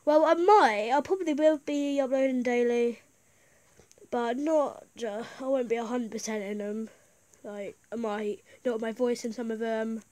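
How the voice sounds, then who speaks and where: A gaming YouTuber with a high voice, affected by a cold, giving casual, rambling, excitable gameplay commentary. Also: normal speed. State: has a bad cold.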